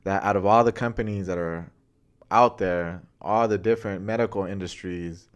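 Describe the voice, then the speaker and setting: Clear, low-voiced American man discussing news. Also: steady tone, normal speed. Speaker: podcast co-host. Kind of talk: conversational news commentary.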